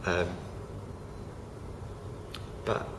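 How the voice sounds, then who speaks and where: A young man, croaky from feeling unwell, talking casually to camera with many fillers and restarts. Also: drawn-out words. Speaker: vlogger. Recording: noisy home recording.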